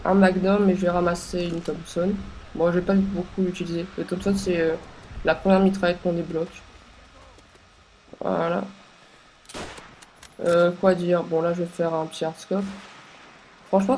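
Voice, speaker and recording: A young woman with a stuffy, congested voice from a cold, talking over her game in a halting way with many pauses and fillers. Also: on the low side, a little mellow, a little hiss or hum, low-quality recording. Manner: hesitant, many filler sounds and restarts. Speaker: gameplay commentator. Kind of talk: casual gameplay commentary.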